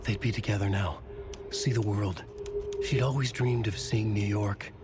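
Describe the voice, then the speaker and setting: A brooding storyteller, acted by a man, speaks in a low voice over prominent music. He delivers a dark mystery game narration in short, measured sentences, terse and literary. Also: steady tone, close to an everyday voice. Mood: brooding, ominous.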